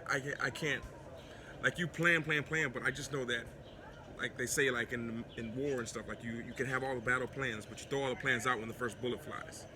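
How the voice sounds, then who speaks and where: An American man with a big, loud voice, talking freely as a guest in a casual interview on a city street. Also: medium pitch, fairly quick, noisy background. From United States. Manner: loud, animated storytelling. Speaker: guest, fellow video creator.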